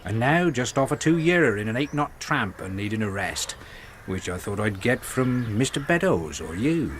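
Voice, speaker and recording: A sly old seaman down on his luck, in a radio drama. He talks in salty, ingratiating phrases at a middling pitch, with faint outdoor ambience and birdsong behind him. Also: very animated, normal speed, fairly bright tone, a little hiss or hum. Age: older. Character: sly, weather-beaten old seaman fallen on hard times.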